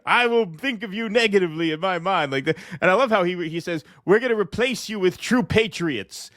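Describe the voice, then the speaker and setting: A man's voice: high-pitched, fairly quick, fairly bright tone, no echo, clean studio sound. A man co-hosting a political show with casual, sarcastic, jokey banter. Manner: sarcastic, jokey, laughs easily. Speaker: political talk show co-host.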